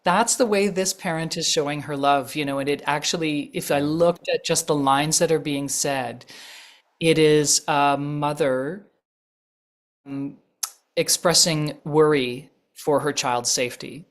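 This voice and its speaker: Low-voiced woman, thoughtful and measured. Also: fairly even intonation. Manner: thoughtful, measured, with frequent pauses and fillers. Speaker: actress guest. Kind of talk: reflective interview answers.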